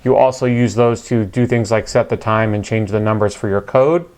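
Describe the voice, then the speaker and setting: A man's voice: lowish voice, moderate pace, darkish tone. A man narrating a product review in a casual, unscripted way, explaining things in a conversational, matter-of-fact manner.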